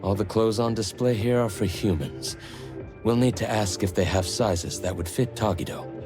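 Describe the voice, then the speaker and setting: A man playing a courteous, composed, helpful leader in an anime game, speaking in a polite, measured, formal way. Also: fairly low pitch, fairly even intonation, music in the background, lightly acted. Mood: calm, helpful. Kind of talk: anime game dialogue acting.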